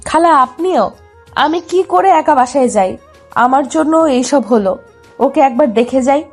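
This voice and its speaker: A woman performs a stubborn, lovesick young woman with a high voice, pleading in a childish, pouty way. It is an audio love drama, with music running behind her. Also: animated, measured pace. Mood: anxious, stubborn. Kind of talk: dramatised love story narration.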